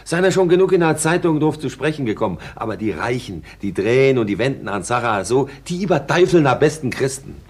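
An actor playing a confident, boastful young soldier home from service, speaking in a radio drama. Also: male voice, medium pitch, very animated, fairly quick, hardly any pauses, slightly rough. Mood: cynical. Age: young adult. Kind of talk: dialect radio drama acting.

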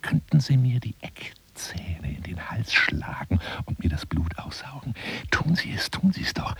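An actor playing a self-dramatizing, obsessive big-game hunter monologuing on the telephone in a radio play, theatrical and often dropping into breathy whispers. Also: male voice, on the low side, big pitch swings, unhurried, heavily acted. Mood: seductive, feverish. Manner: theatrical, swinging from hushed murmurs to agitated outbursts.

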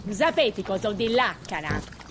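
A woman with a Gallurese Sardinian accent performing in an audio drama, with a lively, sing-song delivery. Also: mid-range voice, measured pace. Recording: loud background noise.

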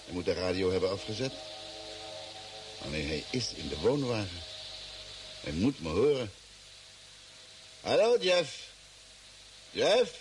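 An actor playing a bewildered, agitated astronaut lost on a strange planet, halting and questioning, in a Dutch radio drama. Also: male voice, on the low side, sing-song, faint music behind. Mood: puzzled, uneasy.

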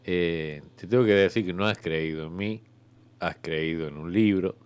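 Deep-voiced Argentine God as halting clerk played by a man. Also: clean tone, close to an everyday voice. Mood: hesitant, explanatory. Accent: Rioplatense Argentine Spanish. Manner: halting, rambling, full of searching pauses. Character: hesitant, bureaucratic God working as an afterlife clerk. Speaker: comedy actor in a radio sketch. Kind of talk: improvised radio comedy dialogue.

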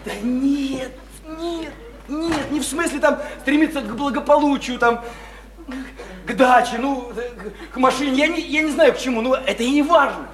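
An actor playing an impassioned idealist in a radio play, preaching reform in halting, repetitive, grandiose speeches. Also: male voice, very high voice, a crowd in the background. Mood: preachy, exalted. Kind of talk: theatrical radio play acting. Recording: noisy, echoey stage-like radio play recording.

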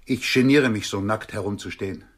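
A man's voice: lowish voice, brisk, fairly bright tone. An actor in a radio play playing a shabby, bashful male drifter who speaks formally and politely, clinging to his dignity. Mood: embarrassed, dignified.

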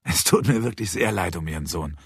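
A man reads a subdued male police superintendent in an audiobook. He speaks low and slightly husky, courteous but hesitant and questioning, with restraint. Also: a little fast, dry recording, clean studio sound, heavily acted. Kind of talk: audiobook character dialogue.

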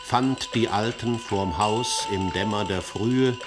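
An actor speaking as the chorus narrator, reciting classical verse in a solemn, deliberate way. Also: male voice, lowish voice. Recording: music behind. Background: music.

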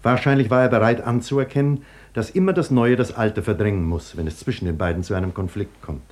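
A man playing a grave, husky-voiced scientist narrator. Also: on the low side, darkish tone, lightly acted. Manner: measured, grave, explanatory. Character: sober male scientist narrator, thoughtful, burdened. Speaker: scientist and doctor narrating his own story. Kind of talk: 1950s radio drama acting and narration.

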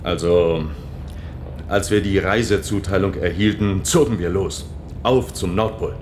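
Protective resistance helper, low earnest voice, sci-fi radio drama, noisy behind him. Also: male voice, measured pace, darkish tone. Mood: wistful. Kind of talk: German science-fiction radio drama acting.

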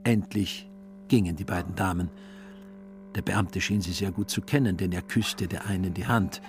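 A man's voice: low voice, fairly even intonation, normal speed. A man reading scripted literary narration as the narrator of a radio play. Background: music.

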